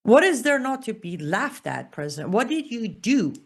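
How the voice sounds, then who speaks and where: A woman's voice: fairly high pitch, big pitch swings, moderate pace, vocal fry. A woman from Iran, hosting her own political show in an emphatic, sarcastic opinion monologue. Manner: emphatic, sarcastic, punchy repeated phrases. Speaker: political commentator, show host.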